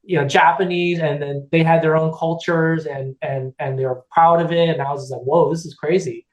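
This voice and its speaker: A middle-aged man chats as a podcast guest, rambling through stories with lots of restarts, fillers and easy laughter. His voice sits a bit higher than most men's, and the room around him rings with echo. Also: steady tone, brisk, darkish tone, lo-fi sound. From United States (California). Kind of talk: casual chat.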